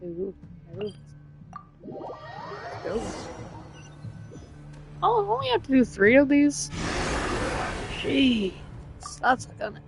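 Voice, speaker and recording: A woman's voice: high voice, huge pitch swings, drawn-out words, gravelly, long pauses, over music. A woman game streamer giving casual live commentary and reading on-screen text aloud.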